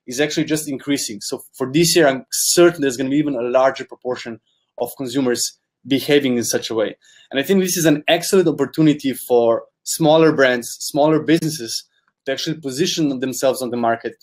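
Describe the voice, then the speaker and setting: Young non-native English speaker, male, earnest webinar presenter, mid-pitched voice. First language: not English. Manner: earnest, repeats words, uses "kind of" often. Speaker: guest speaker, product specialist.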